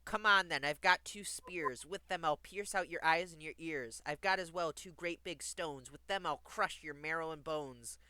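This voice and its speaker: Guy doing a big fierce storybook goat, gruff n fearless, forceful, dry close sound. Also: a little high, moderate pace, few pauses, dry recording. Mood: fierce, defiant. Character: huge, fierce, fearless male billy goat.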